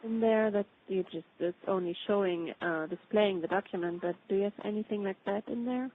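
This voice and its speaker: A woman's voice: medium pitch, fairly quick. A woman in a casual work conversation over a remote call, speaking in hesitant, piecemeal phrases. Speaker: colleague following a demo. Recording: dull call audio with faint noise.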